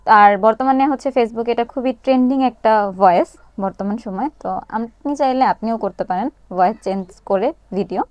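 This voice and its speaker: A woman presenting a casual step-by-step app tutorial, explaining each action haltingly as she does it. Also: a little high, a little mellow, dry recording.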